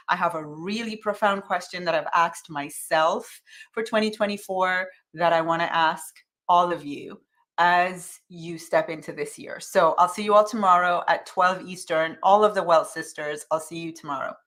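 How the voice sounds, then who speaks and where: A woman coaching her viewers in a live stream, with a voice pitched a touch low for a woman. She talks in a chatty, upbeat way, stops to thank commenters by name, and drives home the same call to action again and again. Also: fairly bright tone. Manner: repetitive, urging calls to action. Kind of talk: casual motivational live stream.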